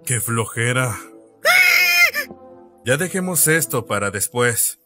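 A man's voice: medium pitch, lively intonation, takes it slowly, over music. A man voicing a cool, sceptical young sea cook in an anime fandub, blunt and nonchalant.

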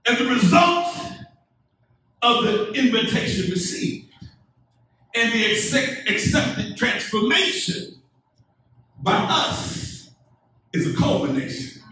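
A middle-aged man preaching a sermon in unhurried, deliberate phrases, his voice sitting unusually high for a man. He builds to emphatic peaks that invite call-and-response. The sound is heavily echoey, as if heard from the back of a church sanctuary. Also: fairly bright tone, far from the mic. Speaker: pastor. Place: church sanctuary, indoors, medium-sized.

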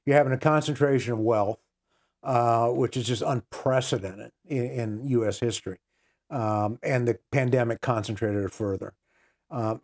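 An American man in his sixties speaks at an ordinary male pitch in a conversational commentary style. He stresses his points by repeating phrases and posing rhetorical questions, as a guest in a discussion. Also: a little mellow. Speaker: interview guest. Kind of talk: conversational political and religious commentary. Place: small book-lined room indoors. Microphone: lapel mic.

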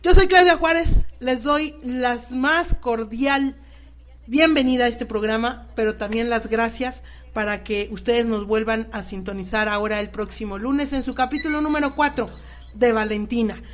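A woman's voice: fairly high pitch, moderate pace, soft background music. A woman with a Mexican accent hosting a live radio talk show, addressing guests and listeners.

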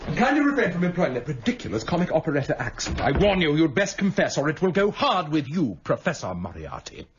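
A haughty detective speaks with a husky, breathy edge. His voice leaps up and down as he reels off one crisp deduction after another. It comes through a dull, lo-fi radio broadcast. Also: fairly high pitch, big pitch swings, fast, exaggerated voice. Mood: accusing. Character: brilliant, imperious male detective. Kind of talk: BBC radio drama acting.

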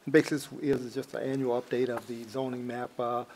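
An American man giving a halting committee report, often groping for words. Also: mid-range voice, level tone, noisy background. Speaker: village trustee, committee chair. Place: indoor village board meeting room.

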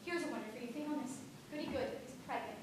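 An actress playing a frightened but defiant eighteen-year-old servant girl, with halting repeats and old-fashioned rustic speech. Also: high-pitched, close to an everyday voice. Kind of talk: stage play performance. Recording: echoey, far-off stage audio with background noise.

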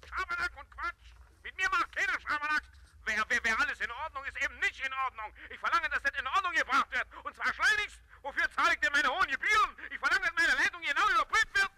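Shrill, blustering male complainer. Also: lively intonation, fast talker, sharp tone, exaggerated voice, low-quality recording. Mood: indignant. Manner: blustering, sputtering, repeats himself. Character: pompous, irritable, blustering male complainer on the telephone. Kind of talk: 1960s German radio play acting.

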